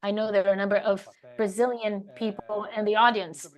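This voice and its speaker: A woman giving live English interpretation of a panel speaker's remarks, halting, with frequent fillers and restarts. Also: mid-range voice, level tone, a little mellow. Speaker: simultaneous interpreter.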